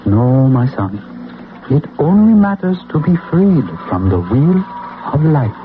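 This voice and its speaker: Calm otherworldly monk, kinda high voice, chanty and solemn, old radio drama w/ lots of hiss. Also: male voice, unhurried. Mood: solemn, mystical. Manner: solemn, cryptic, chanting cadence. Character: serene, otherworldly monk who spins the fates. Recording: tinny, noisy old radio broadcast recording.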